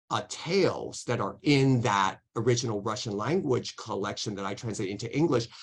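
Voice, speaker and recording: A man's voice: medium pitch, creaky, fairly bright tone, breathy edge. A man answering questions as a guest lecturer in an online academic talk, careful and hedging, with many restarts. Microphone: video call.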